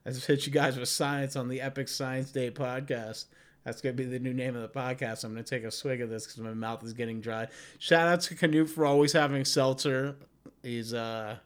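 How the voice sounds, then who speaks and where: A man's voice: mid-range voice, a bit bright, smooth. A man in his forties hosting a comedy podcast, chatting casually in a profane, rambling way. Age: 40s. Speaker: podcast host.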